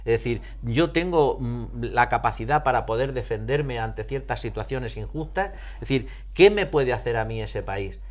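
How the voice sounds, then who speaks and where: A man answers as an interviewee in an explanatory, teacherly style. He builds his points from rhetorical questions that he repeats, often halting to find the next word. His voice sits at an average pitch. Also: lively intonation. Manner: halting, searches for words. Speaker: psychologist being interviewed.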